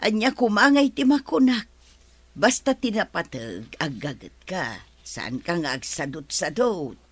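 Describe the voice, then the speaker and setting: Woman doing a nagging older mom, husky, swoops all over, dry sound with faint music. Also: fairly low pitch, very animated, a little slow, no echo, heavily acted. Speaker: performer in a radio drama. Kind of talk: Filipino comedy-drama radio play acting.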